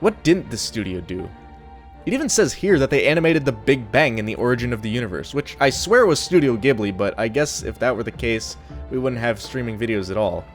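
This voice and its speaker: A young man narrates a video review with animated, varied intonation. His delivery is casual, blunt and dryly sardonic, over faint music. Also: medium pitch, brisk. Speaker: anime reviewer.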